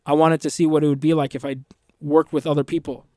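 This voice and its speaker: A man's voice: on the high side, flat delivery, fast talker, darkish tone, dry recording, clean studio sound. Reflective man, native Arabic speaker. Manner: reflective, with frequent restarts and "you know". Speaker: musician guest. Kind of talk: interview conversation.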